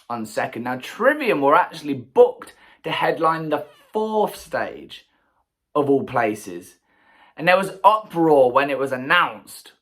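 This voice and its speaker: Male vlogger from England, croaky voice, chatty and rambling, mid-pitched. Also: a bit bright. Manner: chatty, enthusiastic, rambling asides. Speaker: festival vlogger. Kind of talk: casual solo recap to camera.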